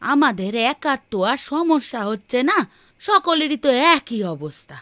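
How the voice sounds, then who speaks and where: A woman's voice: high voice, very animated, moderate pace, bright, forceful tone, no echo, full character voice. A woman playing a practical village housewife in a cartoon, bossy but caring, with a nagging, exclamatory way of talking. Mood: firm, consoling. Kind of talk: cartoon voice acting. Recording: music behind the voice.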